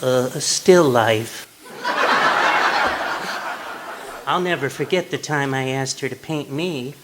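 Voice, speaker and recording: An actor playing a meek, cheerful henpecked husband in a polite, prim manner, in old-time radio comedy style. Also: male voice, medium pitch, moderate pace, natural voice. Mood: innocently cheerful. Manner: polite, mild, prim delivery. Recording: live stage recording with background noise.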